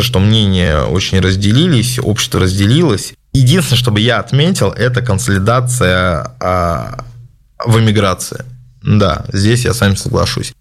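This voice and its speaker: A man hosting a casual question-and-answer session, giving his opinions in a measured way with many hesitant filler sounds. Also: sits low. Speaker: host answering viewer questions. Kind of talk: casual spoken Q&A.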